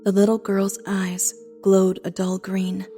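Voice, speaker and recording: A woman's voice: medium pitch, monotone, takes it slowly, mellow. A woman narrator reading a scripted horror story. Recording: music under the voice.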